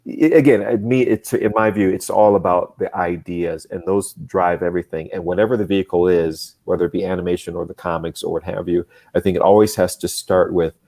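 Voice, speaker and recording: A man speaking as a guest on a casual live show, thinking aloud with many restarts and bursts of faster talk. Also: sits low. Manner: thoughtful and rambling, many restarts, speeds up when excited. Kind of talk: casual livestream chat.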